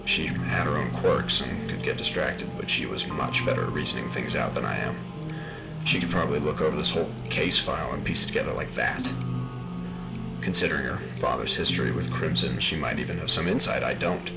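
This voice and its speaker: Brooding male investigator, audio drama case log. Rock-bottom gravelly register, deliberate and musing, music score underneath. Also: a bass voice, animated, heavily acted, rough recording. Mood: wistful, weary. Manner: deliberate, musing, self-questioning.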